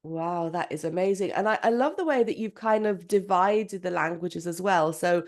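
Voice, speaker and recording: A woman hosting an English-learning podcast, speaking in an enthusiastic, expressive way as she introduces and questions her guest. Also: on the low side, normal speed. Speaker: podcast host. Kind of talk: podcast interview.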